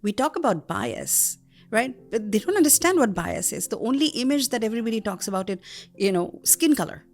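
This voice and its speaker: A woman from Bangladesh, a native Bengali speaker, explaining her ideas as a guest on a podcast, with lively examples and acted-out quotes. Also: a little high, a little fast, soft background music. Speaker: guest academic. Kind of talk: podcast interview.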